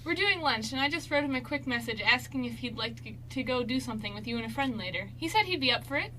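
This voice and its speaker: A woman's voice: high-pitched, expressive, fast, pushed and bright, slight background noise, low-quality recording. A woman playing a bubbly, teasing, sweet young best friend in an amateur radio play, gushing playfully. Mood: bubbly, reassuring.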